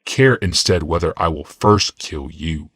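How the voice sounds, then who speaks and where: Guy doing an arrogant menacing warrior for an audiobook, low voice, imperious and deliberate. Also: fairly bright tone, breathy edge, no echo, clean studio sound, exaggerated voice. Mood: cold threat. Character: commanding, menacing, arrogant male warrior-healer.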